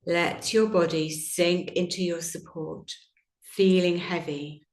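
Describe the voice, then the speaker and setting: A woman teaching yoga nidra, guiding a relaxation meditation in a gentle, soothing, unhurried manner with long pauses. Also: on the low side, fairly even intonation. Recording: roomy, echoing sound.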